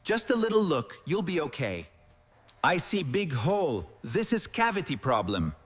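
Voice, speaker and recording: A man voicing a kind, patient cartoon dentist who speaks calm, simple broken English. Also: fairly high pitch, normal speed, husky, long reverb tail. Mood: reassuring.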